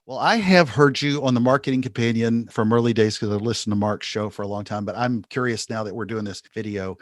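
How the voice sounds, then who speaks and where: A man hosts a business interview podcast, moving from a punchy, upbeat scripted intro into relaxed questions. His pitch sits in an ordinary middle range. The recording is dry and close, with no room sound. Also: a little fast, no echo. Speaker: podcast host.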